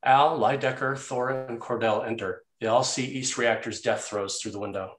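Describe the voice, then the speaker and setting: Male narrator for a table read: mid-pitched, scripted stage directions, echoey room sound. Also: steady tone, normal speed, fairly bright tone.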